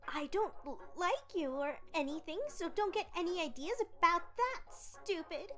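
A woman's voice: extremely high pitch, animated, moderate pace, sharp tone, full character voice. A woman voicing a huffy, bashful talking flower in a comic dub, prickly, flustered and stammering.

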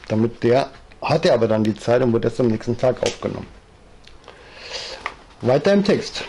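A man in his fifties with a Bavarian accent, telling his story in a rambling, chatty way in a living room, often trailing off with fillers, with a low-quality home recording. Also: vocal fry, mellow, a little hiss or hum. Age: 50s. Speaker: vlogger. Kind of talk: casual storytelling monologue, reading aloud. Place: living room, seated in armchair.

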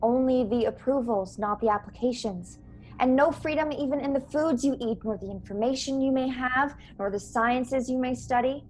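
A woman's voice: sits high, normal speed, a little mellow, lightly acted. Woman as earnest starship officer over noisy video call. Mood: earnest, pointed. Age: young adult. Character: earnest, courteous young female starship officer, gently persuasive. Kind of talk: radio play acting over video call. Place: small bedroom, indoors. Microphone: earbuds on a video call. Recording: clear background noise on video call.

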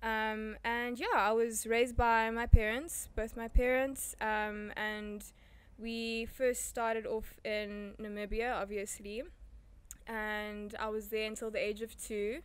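A woman's voice: fairly high pitch, flat delivery, slow pace, clean tone. A young woman from South Africa, a guest in a casual sit-down interview, talking easily with many "um"s and "like"s into a handheld mic. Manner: chatty, thinks aloud with many "um"s and "like"s. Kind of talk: casual conversation. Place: small indoor room with curtains, seated in armchairs. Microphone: handheld mic passed between speakers.

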